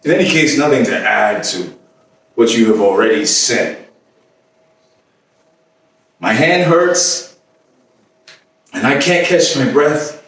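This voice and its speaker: A man's voice: mid-range voice, lively intonation, a bit bright. Anguished, weary lover in an echoing room, acted by a man. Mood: exhausted. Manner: halting, broken phrases with long pauses and repetitions. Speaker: stage actor. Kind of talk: theatrical staged reading of a dramatic monologue. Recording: roomy echoing stage, distant mic, faint noise.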